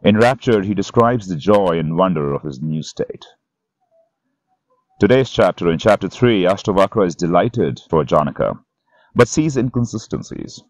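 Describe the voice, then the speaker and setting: A middle-aged man reading and introducing spiritual scripture in a serene, measured, solemn manner, as a teacher would. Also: low-pitched, expressive, a little mellow, dry recording. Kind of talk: slow scripture reading and commentary. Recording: dull, muffled sound under music. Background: instrumental music.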